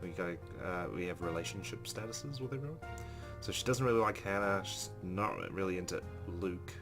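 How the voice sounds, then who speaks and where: A man's voice: sits low, moderate pace. A man hosting a let's play, casually commenting and reading the game's text aloud. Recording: game music behind the voice.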